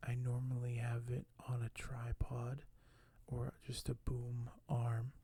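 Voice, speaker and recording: A man speaks softly as an ASMR host, often slipping into a breathy whisper. His voice is mellow with some creak in it, and his pitch barely moves. He takes his time, hesitant and a little apologetic. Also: monotone. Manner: slow, hushed, hesitant, apologetic. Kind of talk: ASMR unboxing, soft-spoken.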